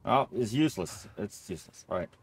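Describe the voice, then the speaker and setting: A man's voice: on the low side, animated, a little fast, breathy edge. A man giving casual Let's Play commentary on a horror game, rambling and thinking aloud as he plays, often repeating himself. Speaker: gamer narrating a horror game playthrough.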